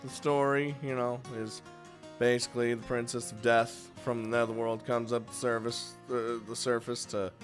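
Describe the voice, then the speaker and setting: A man's voice: medium pitch, little pitch movement, moderate pace, soft background music. A man hosting a casual, unscripted countdown of games, chatty and crude, swearing freely. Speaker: video host and game reviewer.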